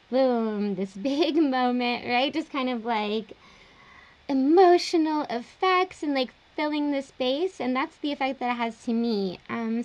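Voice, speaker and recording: High-voiced young woman explaining studio work. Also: dull audio. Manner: relaxed, explanatory, uses fillers like um and like. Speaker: music producer. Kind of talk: casual studio tutorial. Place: small home studio indoors.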